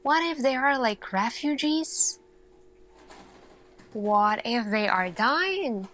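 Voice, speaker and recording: Woman, ASMR roleplay, earnest lead scientist, extremely slow pace, slightly high pitch, deliberate pauses. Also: expressive, a bit bright. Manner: slow, deliberate, pausing, questioning delivery. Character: earnest, thoughtful female lead scientist addressing her team.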